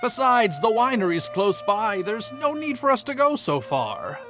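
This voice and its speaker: A fairly high-pitched gentleman, with music playing behind him. He is a polite, modest winemaker from a video game. He speaks in a courteous, earnest and slightly hesitant way. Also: big pitch swings, measured pace. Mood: tactful, cautious. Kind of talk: scripted video game character acting. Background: background music.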